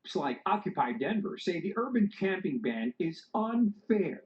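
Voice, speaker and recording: A male news reporter delivering a measured television news report. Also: high voice, big pitch swings. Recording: dull TV clip audio.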